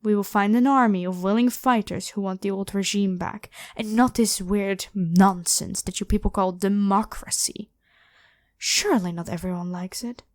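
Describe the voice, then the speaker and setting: A woman's voice: mid-range voice, steady tone, normal speed, darkish tone, no echo, studio quality, close to an everyday voice. A woman voicing an evil ancient pharaoh in an ASMR roleplay, imperious, demanding and scornful as she addresses the listener. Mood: scheming, defiant. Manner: haughty, commanding, theatrically scornful.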